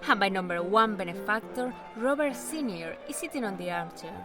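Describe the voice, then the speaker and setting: A gracious, charming family friend, played by a woman in an audio drama. Her voice is mid-pitched and courteous. A clear music bed runs beneath. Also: expressive, a little fast, natural voice. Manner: polite, gracious, slips into Spanish words. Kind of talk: fan audio drama acting. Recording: music bed under the dialogue.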